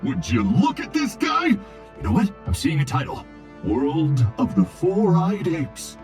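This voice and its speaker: Robot movie director, video game character, grumbling, vain, acid-tongued, theatrical, animated pitch, higher-set voice, music behind. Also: male voice, unhurried, exaggerated voice. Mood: showy, dismissive.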